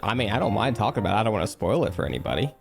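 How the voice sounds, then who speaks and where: Low-voiced man chatting over music. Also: very animated, racing, creaky. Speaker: co-host of a gaming podcast. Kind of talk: casual chat during a game let's play. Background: music playing behind the voice.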